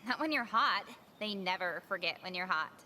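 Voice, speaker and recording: A woman playing a blunt, crude, teasing teenage girl in a game, with a brash, deadpan, mocking manner. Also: medium pitch, moderate pace, a little hiss or hum. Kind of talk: scripted visual novel dialogue.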